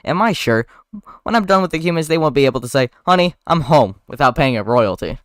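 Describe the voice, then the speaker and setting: A man's voice: a little high, lively intonation, a little fast, a bit bright, no echo, studio quality. A man voicing a plucky young male honeybee in an earnest, emphatic, plain-spoken way. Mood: defiant.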